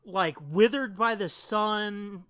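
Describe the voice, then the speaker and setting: Guy chatting on a podcast, crisp bright voice, close dry sound, swears a lot. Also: high voice, steady tone, slow pace, no room sound. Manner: informal, sweary. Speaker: podcast host. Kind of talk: casual chat, profane.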